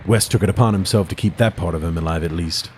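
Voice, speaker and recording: An actor playing a haunted, fearful army doctor, narrating a gothic horror audio drama in a grave, formal, dramatic way. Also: male voice, deep voice, quick pace, darkish tone, slight background noise, lightly acted. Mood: disgusted. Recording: music under the voice.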